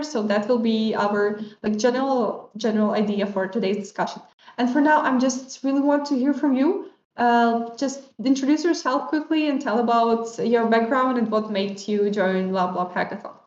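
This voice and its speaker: A woman hosting a livestream panel on a video call, talking in a slightly halting way with non-native phrasing. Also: fairly high pitch. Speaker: host. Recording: roomy, dull lo-fi stream audio.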